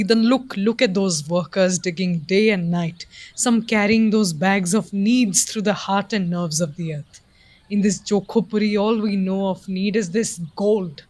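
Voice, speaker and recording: A woman's voice: mid-range voice, a little mellow, dry recording, lightly acted, poor audio. A woman playing an earnest, philosophical professor in an audio play, declaiming in a poetic and ominous way.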